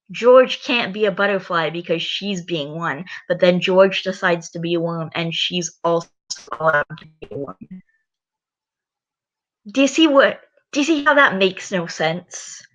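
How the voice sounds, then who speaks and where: A woman's voice: lowish voice, measured pace, a bit bright. A very tired woman hosting a reading channel, making rambling, exasperated asides in casual commentary. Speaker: channel host reading stories. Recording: dull lo-fi recording.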